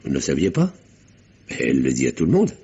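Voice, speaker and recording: An actor playing a courteous, devoted gentleman confidant with measured, formal diction in a French radio drama. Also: rumbling low, huge pitch swings, breathy edge, heavily acted. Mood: confiding. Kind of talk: mid-century French radio drama acting. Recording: dull, muffled vintage radio recording.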